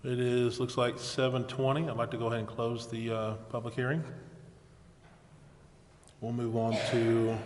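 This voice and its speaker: A man's voice: medium pitch, darkish tone. Guy running a council meeting, formal procedure talk, big echoey room, bit of hiss. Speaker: presiding officer of a city council meeting. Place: indoor council chamber. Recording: echoey chamber, background noise.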